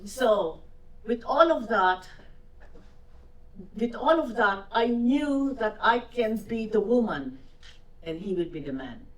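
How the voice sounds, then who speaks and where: A woman's voice: a little high, slow, pauses often, distant mic. Older Filipino woman, echoey hall. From Philippines. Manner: animated storytelling, acting out quoted remarks. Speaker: guest speaker. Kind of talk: fireside chat answering audience questions. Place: event hall.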